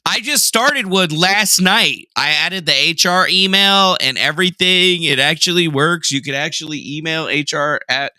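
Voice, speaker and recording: A man's voice: on the high side, normal speed, few pauses, bright, forceful tone, dry recording. A young man casually hosting a livestream, joking sarcastically and reading viewer messages aloud. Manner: jokey, sarcastic, self-mocking; reads chat aloud and reacts. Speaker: livestream host.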